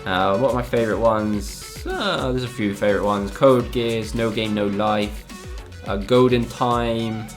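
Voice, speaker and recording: A young man with a lowish voice, a gaming YouTuber from Spain, reads out fan questions and answers them casually. He hedges a lot and pauses on "um". Faint music plays under his voice. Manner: relaxed, hesitant, full of ums and "I don't know".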